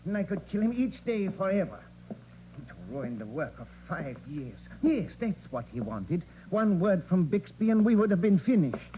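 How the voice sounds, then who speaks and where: A bitter criminal schemer in an old radio crime drama. His theatrical delivery swings widely in pitch and broods before it erupts. The recording is muffled, with faint hiss. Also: big pitch swings, moderate pace. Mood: bitter rage. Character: bitter scheming forger and murderer, volatile, greedy. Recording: dull, noisy vintage radio recording.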